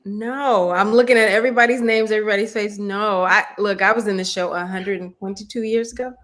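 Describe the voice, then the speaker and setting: A woman with a low alto speaking voice, chatting as a guest on a video call, bubbly and laughing often. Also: fairly even intonation, a little fast, fairly bright tone. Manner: bubbly, laughs often, says honey and y'all. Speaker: guest performer. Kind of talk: casual video call chat.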